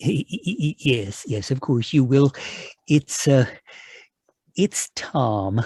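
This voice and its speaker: A man's voice: mid-range voice, lively intonation, slow, warm tone, slightly rough, dry recording. Man acting timid middle-aged man, nervous stammer, flustered and hesitant, old-time radio play, close dry sound. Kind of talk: 1940s radio drama acting.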